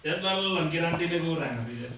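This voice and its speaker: A man's voice: fairly high pitch, fast, bright, forceful tone, poor audio. A man chats casually as a co-host, chipping in with short responses. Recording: background music under the talk.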